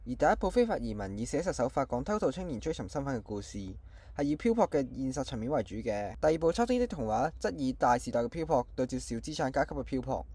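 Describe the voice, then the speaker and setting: A man's voice: mid-range voice, big pitch swings, fast, dark tone, husky. A man with a Hong Kong Cantonese accent presents scripted film trivia as an online channel host.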